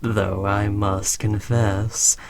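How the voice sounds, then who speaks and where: A man playing a smug, condescending alien conqueror in an audio roleplay, talking slowly to a human servant with taunting, patronizing fondness. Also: fairly low pitch, level tone, vocal fry, slight background noise, heavily acted. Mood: lofty, explaining. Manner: slow, taunting, patronizing praise. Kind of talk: scripted audio roleplay addressed to the listener.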